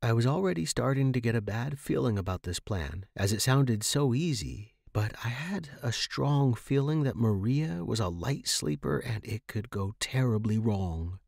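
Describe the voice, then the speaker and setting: Guy reading a horror tale for a fiction podcast, average pitch, no room sound. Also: animated, moderate pace, gravelly, hardly any pauses, darkish tone, no echo, studio quality. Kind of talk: scripted first-person horror story narration.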